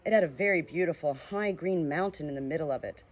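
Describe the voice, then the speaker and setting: A woman narrating a children's storybook as an audiobook, reading in a clear, steady way. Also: low-pitched, fast talker, dull audio.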